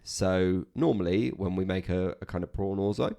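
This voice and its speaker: A man's voice: low-pitched, normal speed, vocal fry, mellow. A young man co-hosting a podcast, chatting casually with self-deprecating jokes. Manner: joking, self-deprecating banter. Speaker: podcast co-host. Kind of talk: casual chat.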